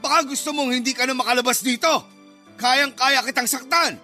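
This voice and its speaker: An unforgiving older father in a radio drama rages with indignation. His voice is pitched very high and cuts sharply, full of threat and contempt. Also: fairly even intonation, fairly quick, sharp tone, faint music behind, exaggerated voice. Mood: furious, threatening. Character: angry, abusive, unforgiving father.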